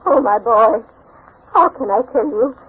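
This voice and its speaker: An actress playing a very old, superstitious grandmother, anguished and pleading, in halting 1940s radio melodrama. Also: a little high, animated, slightly rough. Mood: sorrowful recounting. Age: older. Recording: muffled 1940s radio recording.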